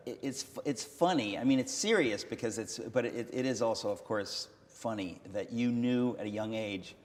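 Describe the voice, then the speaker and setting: A man's voice: medium pitch, normal speed, big-room sound. A man hosting an interview, asking questions with frequent restarts and a playful, teasing humor. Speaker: interviewer, host. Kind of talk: conversational interview.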